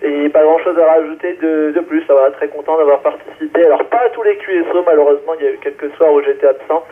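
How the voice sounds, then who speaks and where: A man's voice: fairly high pitch, fairly even intonation, quick pace, narrow, tinny audio, slight background noise. Man on a thin ham radio link. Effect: two-way radio. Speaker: amateur radio operator. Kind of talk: radio check-in, hesitant casual talk.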